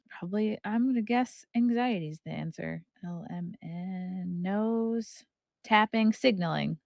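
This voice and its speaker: A woman's voice: lowish voice, creaky, no echo, studio quality. A woman hosting a podcast, chatting casually and reading aloud from a book with playful, irreverent, flippant asides. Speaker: podcast host.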